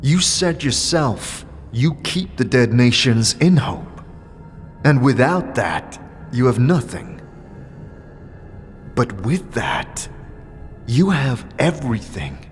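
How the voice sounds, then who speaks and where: A man's voice: mid-range voice, very animated, slow, pauses often, soft background music. A man playing an earnest immortal wanderer. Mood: impassioned. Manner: earnest, questioning. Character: scarred immortal male wanderer.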